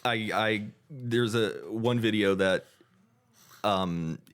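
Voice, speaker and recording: A man with a somewhat low voice talks loosely on a casual podcast. He wanders through his points, stalling on fillers and stumbling over restarts as he goes. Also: fairly bright tone. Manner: rambling, halting, many fillers and restarts. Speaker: podcast co-host. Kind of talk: casual chat.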